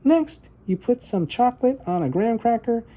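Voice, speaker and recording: An earnest little girl from a storybook, acted out by a man, determined to sound brave. The voice sits well above a man's usual pitch, with a soft, mellow tone. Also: animated, moderate pace. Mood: eager, explaining. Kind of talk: children's storybook read-aloud.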